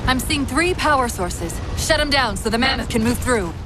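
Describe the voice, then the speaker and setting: A quick-thinking female AI companion in an action game. Her voice is high and she gives crisp tactical instructions over loud music. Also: lively intonation, rough recording. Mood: focused, tactical. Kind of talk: video game action dialogue. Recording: loud music and explosions behind. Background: loud score, explosions, vehicles.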